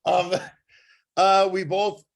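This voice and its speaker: A Canadian-accented man co-hosts a casual podcast in a fairly high voice. He cracks jokes and rambles, restarting his sentences as he goes. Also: level tone, very slow, gravelly. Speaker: podcast co-host. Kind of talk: casual joking chat.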